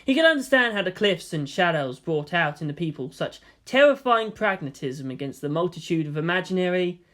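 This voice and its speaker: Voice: mid-range voice, big pitch swings, brisk, a bit bright. A storyteller reading scripted fantasy fiction aloud as dramatic narration. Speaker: audiobook reader of fan fiction.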